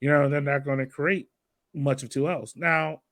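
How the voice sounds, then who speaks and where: A man's voice: on the high side, fairly even intonation, moderate pace, fairly bright tone, no room sound, clean studio sound. A man hosting a casual sports podcast discussion, talking loosely and conversationally. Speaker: host of a soccer fan podcast. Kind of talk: casual post-game discussion.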